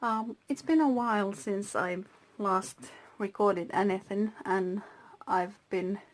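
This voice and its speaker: A Finnish-native woman hosting her own craft channel, talking through a handmade journal slowly, with pauses and soft whispered asides. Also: mid-range voice. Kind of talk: casual crafting flip-through.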